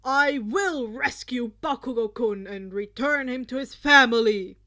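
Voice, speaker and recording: A fierce, determined hero of a man, acted out by a woman reading a story. The voice growls and booms loudly, with slow, heavy delivery.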